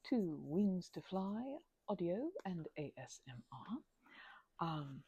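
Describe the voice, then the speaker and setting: A woman rambling casually as an ASMR host, mumbling in a low-key, musing way, her voice hushed and often slipping toward a whisper. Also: lively intonation, takes it slowly, husky, no echo, muffled recording. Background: keyboard clicking.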